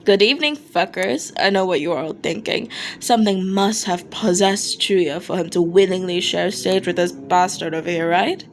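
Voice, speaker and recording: A woman's voice: lowish voice, moderate pace, natural voice. Woman doing a cocky rock band guy, blunt n sweary, kinda sheepish, some background noise. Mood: cocky, teasing. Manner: informal, apologetic, blunt. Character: brash, foul-mouthed, sheepish male rock band singer. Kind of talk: acted dialogue in fan fiction reading.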